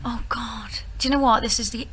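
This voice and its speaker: A woman's voice: a little high, fairly even intonation, fairly quick, fairly bright tone, slightly rough. A woman in her thirties, a musical theatre actress, chatting hesitantly and laughing in an interview. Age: 30s. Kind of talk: casual interview chat.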